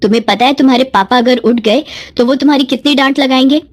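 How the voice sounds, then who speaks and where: A woman's voice: high-pitched, quick pace. A woman voicing a worried mother who scolds her grown daughter fretfully in a moral story. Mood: scolding.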